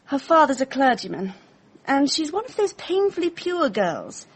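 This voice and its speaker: A woman's voice: high voice, very animated, normal speed, poor audio. An actress playing a flippant, breezy young upper-class Englishwoman with a British accent, wry and offhand, in a radio drama. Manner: wry, offhand, drawling society slang.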